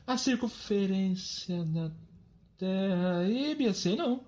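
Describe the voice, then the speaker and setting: A woman's voice: medium pitch, expressive, slow, dark tone. A woman voicing a goofy, cheeky, dim young boy in a Brazilian children's cartoon, whiny and clownish. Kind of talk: children's cartoon comedy dialogue.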